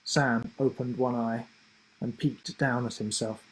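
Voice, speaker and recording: Male author-narrator for children's fiction: slow, deliberate storytelling, breathy husky tone, expressive delivery. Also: mid-range voice, rough recording. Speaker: author reading his children's book.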